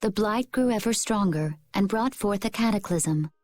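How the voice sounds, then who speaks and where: A woman's voice: medium pitch, lively intonation, moderate pace, a little mellow, no room sound, close to an everyday voice. Earnest young woman, voiced by an actress. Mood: solemn. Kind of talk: video game voice acting.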